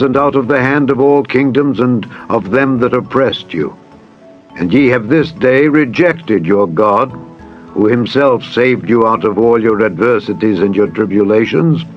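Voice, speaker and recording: A man's voice: mid-range voice, natural voice. A man voicing a solemn, commanding prophet in a dramatized scripture reading, declaiming a rebuke in archaic formal speech. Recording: dull radio-like sound, music behind.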